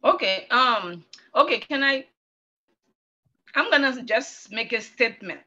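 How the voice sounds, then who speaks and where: A woman's voice: fairly high pitch, animated, measured pace, bright, forceful tone, muffled recording. A middle-aged woman educator from Haiti with a Haitian French accent, giving a personal testimony. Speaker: educator, workshop participant.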